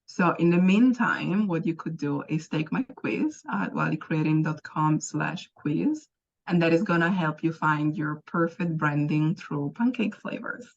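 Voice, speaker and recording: A woman's voice: deep voice, a little slow, vocal fry, muffled recording. A woman whose first language is Italian, speaking English with an Italian accent as a podcast guest, chatting expressively and laughing often. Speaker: guest, business founder. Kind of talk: casual chat.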